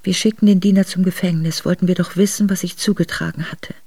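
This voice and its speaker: An actress playing an earnest, reflective exiled noblewoman, narrating her memoir in a measured way for a radio drama. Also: fairly low pitch, fairly even intonation, warm tone, breathy edge, no echo. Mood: grave, reflective. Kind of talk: literary first-person radio drama narration.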